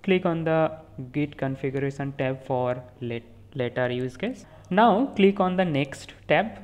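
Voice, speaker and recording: Steady tutorial voice. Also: mid-range voice, measured pace. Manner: steady step-by-step instructions with small self-corrections. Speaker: tutorial instructor. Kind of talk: screen-recorded software walkthrough voice-over. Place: voice-over recorded apart from the screen capture.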